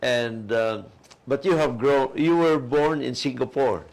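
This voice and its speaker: A man co-hosting a talk show, speaking haltingly with many pauses and filler sounds. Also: mid-range voice, darkish tone, rough recording. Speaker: co-host, attorney.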